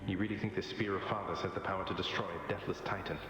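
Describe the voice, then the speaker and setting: Guy doing wry young prince from a game, chill chatty delivery, lowish voice, super echoey. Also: steady tone, fast, lightly acted. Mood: wry, hopeful. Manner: wry, conversational, slightly refined. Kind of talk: video game acting. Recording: heavy dreamlike reverb, game music.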